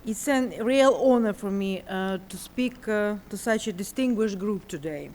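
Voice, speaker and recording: A woman from Ukraine with a Ukrainian accent, giving a formal, measured prepared speech with a few hesitations. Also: medium pitch, a little mellow. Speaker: panelist refugee speaker. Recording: long hall reverb.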